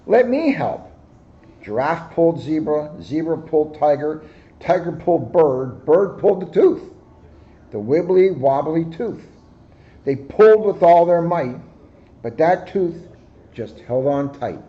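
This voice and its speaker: A man reading a children's picture book aloud in a deliberate, expressive storyteller manner, with slightly muffled sound. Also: a little high. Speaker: guest story reader, police officer.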